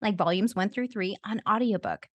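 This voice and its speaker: A woman hosting a casual interview podcast, chatty and quick to laugh, talking as a fellow audiobook narrator. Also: medium pitch, no echo. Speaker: podcast host.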